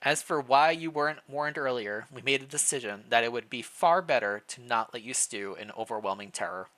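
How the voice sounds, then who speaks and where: A man reads as a royal chamberlain woman from a storybook, formal and secretive. The delivery is measured and calm, with a bright edge to the voice. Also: medium pitch, close to an everyday voice, rough recording. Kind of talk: storybook character reading.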